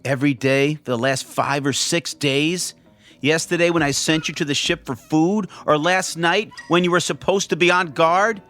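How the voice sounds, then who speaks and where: A man's voice: fairly high pitch, normal speed, fairly bright tone, faint music behind, close to an everyday voice. An actor playing a ruthless male alien invasion soldier in a radio drama, stern, clipped and commanding. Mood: stern, accusing.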